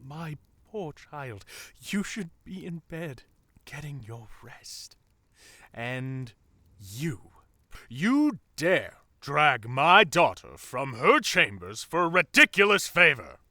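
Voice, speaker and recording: Man voicing a stern possessive king and father, very slow, forceful, husky, menacing, fantasy audio drama. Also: on the high side, very animated, no echo, clean studio sound, exaggerated voice. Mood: outraged. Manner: imperious, halting, menacing. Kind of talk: audio roleplay drama acting.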